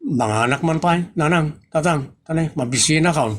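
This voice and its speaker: A man's voice: fairly high pitch, moderate pace, fairly bright tone. A man playing a painfully bashful, whining grown child in a comedy audio drama. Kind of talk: Ilocano comedy radio-style drama acting. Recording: noticeable background noise.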